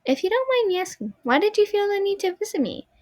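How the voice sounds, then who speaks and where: A young woman voicing a shy, gentle animatronic puppet girl with a soft, childlike voice, timid and pleading when frightened. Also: very high-pitched, sing-song, fast, no echo, clean studio sound, pitched above the performer's own voice. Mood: pleased.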